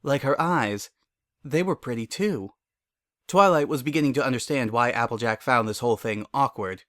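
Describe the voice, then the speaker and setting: A man's voice: mid-range voice, moderate pace, a bit bright, dry recording. Male story narrator, dry close recording.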